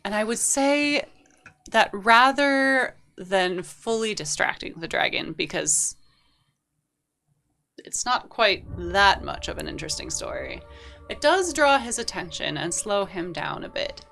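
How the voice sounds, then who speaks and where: A woman running a tabletop game as game master, narrating with lively energy. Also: mid-range voice, measured pace, creaky, faint music behind.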